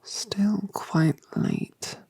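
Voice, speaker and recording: A woman voicing a colossal, gentle, motherly dragoness in a whispered audio drama, soothing and affectionate with genteel phrasing. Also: medium pitch, fairly even intonation, drawn-out words, breathy edge, no echo, full character voice. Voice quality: soft whisper.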